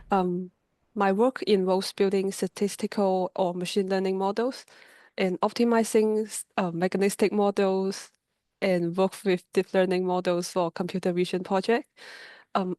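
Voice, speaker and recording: A young woman introduces herself softly and briefly, pausing on hesitant ums. Her delivery is very flat and even. The sound is dry and close. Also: medium pitch, no room sound. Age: 20s. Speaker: guest speaker, data scientist. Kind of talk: webinar self-introduction.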